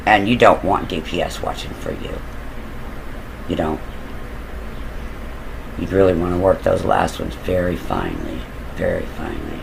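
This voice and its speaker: A man with a low, deep voice and a rough, gravelly edge tells a story in a relaxed, wandering way. He drifts off on side tracks, trails off with fillers and lets a curse slip now and then. A faint hiss of noise sits under his voice. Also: poor audio. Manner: loose and digressive, with fillers and occasional swearing. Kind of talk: casual rambling storytelling.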